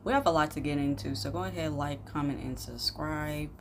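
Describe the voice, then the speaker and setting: A young woman with a low voice hosts a casual reaction video. Her delivery is blunt and full of slang. Clear noise sits behind her voice in the phone recording. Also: fairly quick. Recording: clearly noisy room recording.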